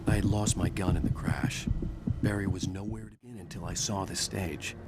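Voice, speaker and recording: An actor playing a troubled, driven novelist in a video game, speaking in an earnest, emphatic way. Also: male voice, sits low, fairly even intonation, normal speed, heavy background noise, natural voice. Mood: grim narration. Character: troubled, driven male novelist, insistent and haunted. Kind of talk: video game voice acting, dramatic dialogue.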